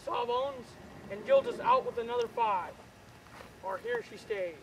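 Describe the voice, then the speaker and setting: A woman reading aloud, voicing a rough, drunken old gravedigger who talks gruff and rustic, with background chatter. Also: very high voice, huge pitch swings, a little slow, voice pushed up, heavily acted, rough recording.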